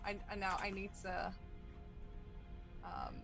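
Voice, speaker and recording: A woman's voice: medium pitch, huge pitch swings, takes it slowly, gravelly, music in the background. A woman acting as game master, improvising descriptive narration for a tabletop roleplaying game.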